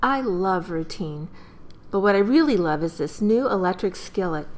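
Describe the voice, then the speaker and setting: An American woman playing a brisk, caring mother of a young family in a radio play. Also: lowish voice, lively intonation, a little mellow, lightly acted. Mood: fond, proud. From United States.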